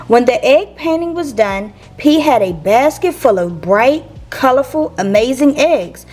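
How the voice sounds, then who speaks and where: High, animated woman reading to children. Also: soft background music. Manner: expressive, measured phrasing for young listeners. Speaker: teacher reading picture books for a channel. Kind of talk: children's read-aloud storytelling.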